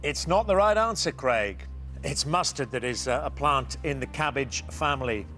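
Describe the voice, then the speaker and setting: A man hosting a television quiz show, with a brisk, lightly teasing manner as he reads questions and chats with contestants. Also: mid-range voice, lively intonation, pushed and bright, soft background music.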